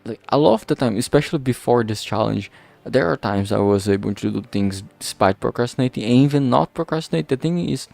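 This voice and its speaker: A man talking casually and haltingly in a rambling vlog, informal and self-deprecating, with some swearing. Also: mid-range voice, animated, vocal fry, darkish tone, no echo. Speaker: indie visual novel creator and vlog host. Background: background music.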